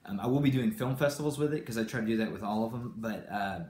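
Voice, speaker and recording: A man's voice: mid-range voice, fast talker, a little mellow, reverberant. A man who grew up in Brazil, hosting a live stream and answering viewers in a rambling, casual way with many fillers and restarts. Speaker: channel host.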